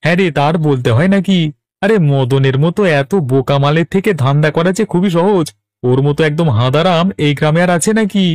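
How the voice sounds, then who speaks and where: An actor voicing a gleeful, mocking village thief, sneering and cackling, in a cartoon. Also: male voice, a little high, moderate pace, a little mellow, dry recording, close to an everyday voice. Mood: gleeful scheming. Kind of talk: Bengali cartoon voice acting.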